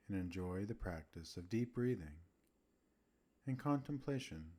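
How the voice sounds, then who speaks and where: A man narrating a scripted guided relaxation as a meditation host, soothing, with gentle pauses between phrases. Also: fairly low pitch.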